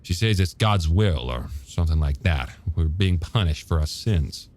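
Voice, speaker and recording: A man playing a skeptical, gruff small-town police chief in an audiobook, asking blunt, incredulous questions. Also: sits low, lively intonation, normal speed, darkish tone. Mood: exasperated. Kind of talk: audiobook character dialogue.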